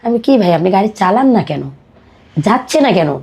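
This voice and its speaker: A woman with a Bangladeshi accent telling her personal life story as a podcast guest, in colloquial, halting speech. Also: mid-range voice, animated, darkish tone, husky.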